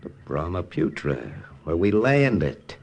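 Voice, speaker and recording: An actor playing a wry, plucky American wartime pilot in an old-time radio adventure, with colloquial, excitable delivery. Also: male voice, sits low, slow, gravelly, full character voice. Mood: wry, weary. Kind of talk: 1950s radio adventure acting. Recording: noisy old radio recording.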